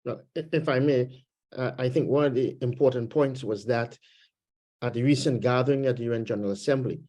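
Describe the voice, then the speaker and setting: A man with a Trinidadian accent speaking as a webinar panelist, measured and thoughtful, pausing often with fillers over a video call. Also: mid-range voice, dark tone. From Trinidad and Tobago roots. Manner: measured, pauses with many fillers. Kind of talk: panel discussion, political commentary. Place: home, Canada.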